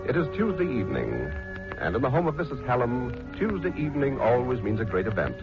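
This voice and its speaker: A man's voice: medium pitch, huge pitch swings, brisk. A man giving scripted narration for an old radio drama. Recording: muffled 1940s radio broadcast. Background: music.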